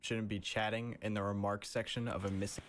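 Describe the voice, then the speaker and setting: A man's voice: fairly low pitch, little pitch movement, fairly quick, slight background noise. A man giving casual, analytical reaction commentary as host, thinking aloud in an informal way. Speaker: host of a horror video reaction.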